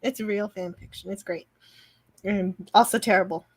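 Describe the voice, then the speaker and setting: A woman's voice: medium pitch, fairly even intonation, normal speed, husky. A woman co-hosting a review show, talking through an episode casually in an analytical, explanatory way. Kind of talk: casual episode recap and discussion.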